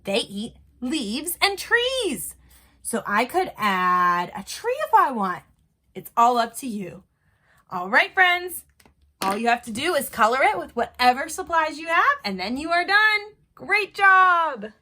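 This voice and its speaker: A woman teaches young children to draw, one step at a time. Her voice is bright and swings widely in pitch. She takes it slowly, using simple and encouraging phrases as an elementary art teacher would. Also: big pitch swings.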